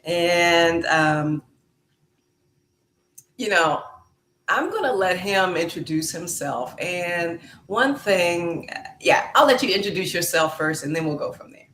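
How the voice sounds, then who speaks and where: A woman's voice: lowish voice, expressive. A woman hosting her podcast in a casual chat, speaking with frequent fillers and restarts. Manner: slow, warm-hearted, with many fillers. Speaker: podcast host. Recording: echoey room.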